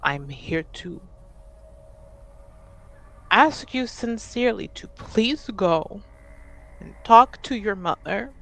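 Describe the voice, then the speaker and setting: A woman playing a grieving grandchild who pleads earnestly and haltingly in a tabletop roleplaying game. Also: medium pitch, lively intonation, gravelly, fairly bright tone, full character voice. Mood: earnest pleading. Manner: slow, halting, earnest delivery. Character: Ade, sincere, grieving grandchild pleading with an estranged relative.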